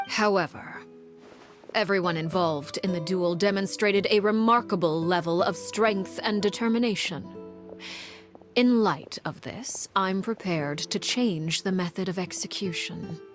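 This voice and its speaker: A woman voicing a cold, commanding woman who heads a house of orphans, speaking in a calm, measured, softly menacing way with a hushed, breathy delivery. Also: medium pitch, faint music behind, lightly acted. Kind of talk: video game cutscene dialogue.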